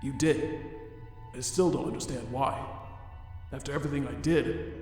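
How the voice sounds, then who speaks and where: A man playing a remorseful, self-loathing monster scientist in a comic dub, speaking in a formal, deliberate way. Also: mid-range voice, fairly even intonation, a little mellow, close to an everyday voice. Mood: grave, guarded. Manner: formal, deliberate, grave wording. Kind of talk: dramatic fan comic dub. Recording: very echoey long reverb under music.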